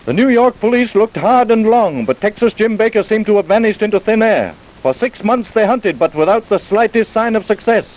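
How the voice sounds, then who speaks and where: An actor narrating an old radio crime drama in an emphatic, declamatory manner. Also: male voice, high voice, expressive, moderate pace, few pauses, darkish tone, low-quality recording. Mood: grave, emphatic.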